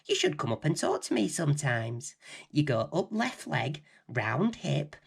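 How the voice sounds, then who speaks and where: A man's voice: fairly high pitch, sing-song, a little slow, gravelly, slightly rough, heavily acted. A man voicing a friendly, lonely little girl from a children's storybook.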